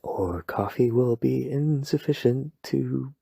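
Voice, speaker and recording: A man whispering softly at night in a rambling, playful, self-deprecating sleep-aid roleplay. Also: mid-range voice, slow, no echo, studio quality. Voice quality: breathy whisper. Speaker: ASMR boyfriend roleplay creator. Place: home at night.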